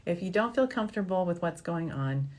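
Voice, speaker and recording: Female medical show host, low register, frank and reassuring, chatty advice to viewers. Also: animated, brisk, darkish tone. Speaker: fertility doctor hosting a question-and-answer show. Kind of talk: informal advice talk, answering viewer questions.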